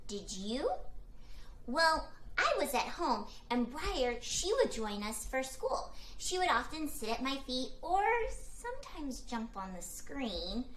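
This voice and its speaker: A woman's voice: high-pitched, sing-song, slow pace, fairly bright tone. A woman hosting a children's read-aloud, addressing young listeners directly in a chirpy, scripted way. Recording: echoey room, background noise.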